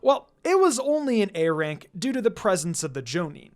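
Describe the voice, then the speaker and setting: A narrator reading fan fiction aloud in an expressive, scripted storytelling style. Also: medium pitch, moderate pace. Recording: music behind the voice. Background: background music.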